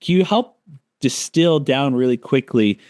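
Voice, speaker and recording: A man's voice: mid-range voice, expressive, a little slow, darkish tone, clear, resonant tone, no echo, clean studio sound. A man hosting a podcast interview, asking long, rambling questions. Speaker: podcast host. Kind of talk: casual interview. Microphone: remote call.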